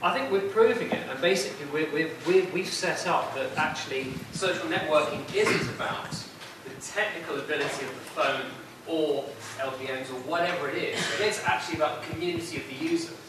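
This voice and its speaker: Booming man heard from far in an echoey hall. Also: brisk, background noise. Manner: loud, assertive, rambling with fillers. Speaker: audience questioner. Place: conference hall audience. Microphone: no microphone, far from mic.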